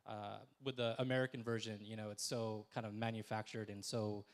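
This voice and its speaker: A man's voice: fairly low pitch, level tone, fairly quick. Reflective man on a discussion panel. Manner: thoughtful, many fillers and restarts. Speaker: panelist, film festival director.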